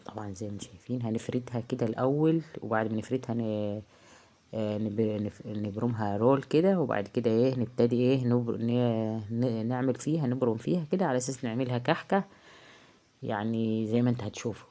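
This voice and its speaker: A woman with an Egyptian accent, hoarse from a heavy cold, chatting casually through a cooking tutorial in her home kitchen, halting often with drawn-out filler sounds. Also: a bass voice, mellow. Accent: Egyptian Arabic. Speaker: home cook vlogger. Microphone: phone.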